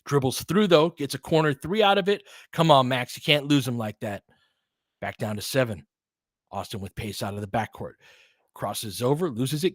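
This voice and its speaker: A man calls basketball play-by-play in a clipped, running style at an ordinary pitch for a man. He breaks into excited bursts and quick laughs when plays go his team's way. The sound is close and dry, with no room behind it. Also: no echo. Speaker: play-by-play commentator. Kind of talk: fan play-by-play commentary.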